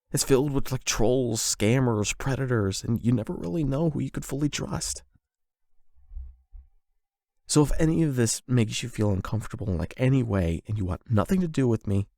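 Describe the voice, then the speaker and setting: A man performing an intimate confession roleplay as a nervous, lovestruck young man, speaking in a halting, self-doubting, conversational way into a close microphone. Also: medium pitch, gravelly, mellow, dry recording. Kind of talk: emotional confession roleplay, ASMR-style monologue.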